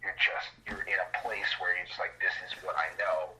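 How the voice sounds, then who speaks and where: A man's voice: low-pitched, steady tone, brisk, pushed and bright. A man explaining things emphatically in casual conversation, speaking over a phone. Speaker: interview guest, poet. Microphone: phone call. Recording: dull lo-fi phone line.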